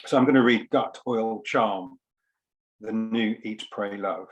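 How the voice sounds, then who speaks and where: A man speaking as a poet at an online book launch, in short, measured phrases with frequent pauses. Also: lowish voice. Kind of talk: informal explanation and poetry reading. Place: online event, on a video call.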